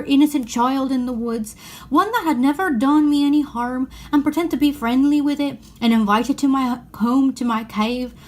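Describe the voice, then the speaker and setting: A mournful storybook faun, a guilt-ridden male creature, acted out by a woman. He laments and reproaches himself in a fairly high voice. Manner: sobbing, self-reproaching lament.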